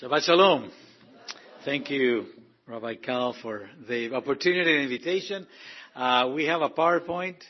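A man's voice: mid-range voice, normal speed, dull audio. A man from Argentina, director of a ministry, giving an informal testimony to a congregation with many fillers and restarts. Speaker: guest speaker, ministry director.

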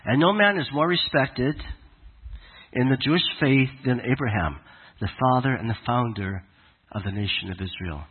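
Raspy man with a cold preaching. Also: mid-range voice, animated, narrow, tinny audio. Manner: conversational, halting with fillers. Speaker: congregation leader. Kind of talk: sermon. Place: congregation hall in Alberta.

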